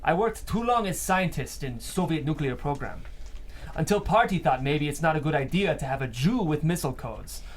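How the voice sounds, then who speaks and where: A man's voice: fairly high pitch, lively intonation, normal speed. Man playing stern older Russian émigré boss. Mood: stern, measured. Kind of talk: script table read acting.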